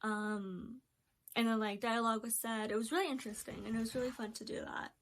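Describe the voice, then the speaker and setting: A woman in her twenties talking through her recent years in a casual recap, filling pauses with um and like. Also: on the high side, steady tone. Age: 20s. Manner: rambling, many ums and likes. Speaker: vlogger.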